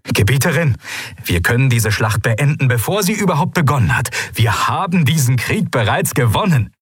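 A man's voice: mid-range voice, big pitch swings, moderate pace, slightly rough, no room sound, studio quality, pitched above the performer's own voice. Man doing an eager, pleading official.

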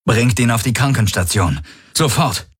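Man voicing a barking female alien guard captain, husky. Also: fairly low pitch, animated, a little slow, dry recording, clean studio sound, voice pushed up. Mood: commanding, alarmed. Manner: barking, commanding, formal. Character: furry four-armed female alien guard captain, commanding, loyal. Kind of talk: audiobook character acting.

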